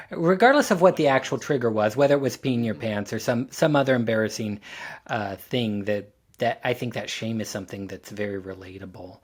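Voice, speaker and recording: A man's voice: mid-range voice, moderate pace, husky. A man on a podcast panel talking casually and reflectively, thoughtfully working his way through phrases.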